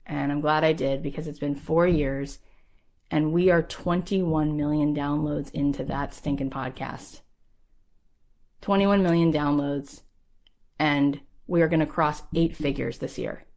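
A woman's voice: sits low, fairly even intonation, measured pace, a little mellow. A woman in her thirties, a podcast host giving an emphatic, rallying motivational talk in a conversational way.

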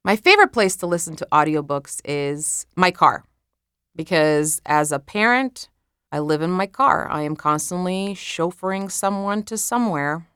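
A woman's voice: lowish voice, animated, moderate pace, fairly bright tone, smooth, no room sound, clean studio sound. A woman from Croatia with a Croatian accent, an author chatting casually and laughing at her own slips while talking about recording her audiobook.

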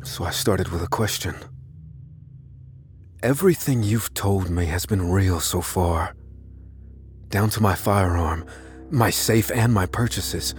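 A man playing a tense but steady lead character who narrates an audio drama in a measured, dramatic way. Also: deep voice, soft background music. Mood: guarded. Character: unassuming armed man hunting a killer, tense but steady.

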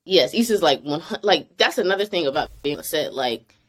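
A woman's voice: low voice, lively intonation, brisk. A young woman, an actress, chatting casually in an interview, laughing often and filling her talk with "like". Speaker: actress guest.

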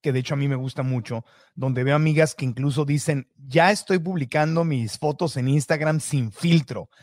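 A man hosting a podcast interview, asking quick chains of questions. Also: on the high side, a little mellow, no echo. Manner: asks rapid chains of questions. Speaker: podcast host. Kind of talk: interview conversation.

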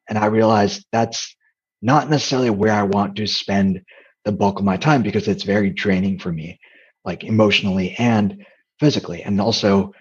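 A soft-spoken man answers interview questions in a reflective way, often stopping and restarting his thoughts. His voice sits fairly low and has a husky, breathy grain. The recording sounds dull and lo-fi. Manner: hesitant, with frequent restarts. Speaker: guest, dating coach and comedian.

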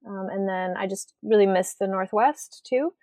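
A woman's voice: medium pitch, normal speed, mellow, no room sound, clean studio sound. A woman from Western Washington, a writer and artist, talking casually as an interview guest, with lots of ums and rambling asides. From Western Washington, USA.

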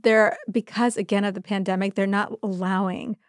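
A woman's voice: medium pitch, little pitch movement, normal speed, no echo, studio quality. A woman in her thirties co-hosting, talking in a casual conversational style. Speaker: podcast co-host. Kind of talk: casual chat.

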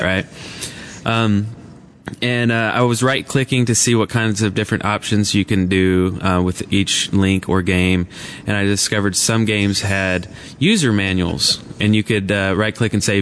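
A lower-voiced man co-hosting a live podcast from a convention room, explaining something in a halting, filler-heavy way. A faint hiss sits under his voice. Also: a bit bright. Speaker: podcast co-host. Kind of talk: casual chat.

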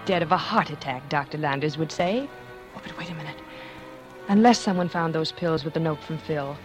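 A woman's voice: deep voice, a little fast, natural voice. A cold-blooded, scheming wife played by a woman in an old 1940s radio thriller. She confesses with theatrical swings between sweetness and menace. Music runs behind the vintage recording. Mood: anxious, searching. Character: scheming, cold-blooded former actress poisoning her husband. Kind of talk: 1940s radio suspense acting, first-person confession.

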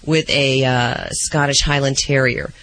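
A man's voice: medium pitch, flat delivery, unhurried, sharp tone, no echo. A man co-hosting a pet radio show, telling stories in casual banter while losing his voice. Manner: chatty storyteller, teasing. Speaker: radio co-host reading pet news. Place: radio studio.

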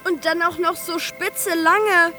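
Tiny, very high childlike train. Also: female voice, steady tone, takes it slowly, sharp tone, faint music behind, full character voice. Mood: bewildered. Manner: childlike, hesitant, wondering. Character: little childlike high-speed train, naive, polite, easily startled. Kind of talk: children's audio drama.

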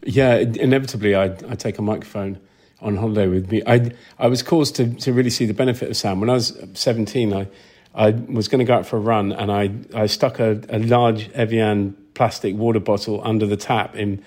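A man's voice: fairly low pitch, steady tone, brisk, a little mellow. A man talking casually as an interview guest, rambling through stories and acting out reactions. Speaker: interview guest, sound designer. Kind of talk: casual anecdotal interview talk.

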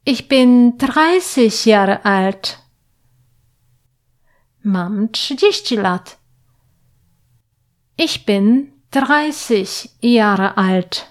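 A woman teaching German to learners, speaking clearly and patiently and pausing so the listener can repeat. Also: a little high, animated. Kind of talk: slow, clear language lesson with repetition drills.

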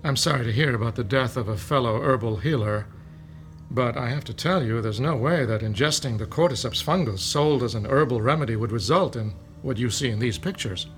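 A man's voice: mid-range voice, a little fast, soft background music, close to an everyday voice. An actor playing a male professor who lectures patiently about fungi in an audio drama. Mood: lecturing. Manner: lecturing, explanatory. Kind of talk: modern audio drama acting.

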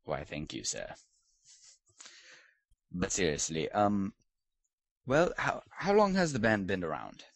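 A man's voice: low voice, wildly swooping pitch, slow pace, creaky, pauses often, no echo, exaggerated voice. A man playing a pompous British lord who hosts a comedic podcast interview, in a put-on British accent with a mock-posh, teasing manner. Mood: haughty, mock-posh. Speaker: host.

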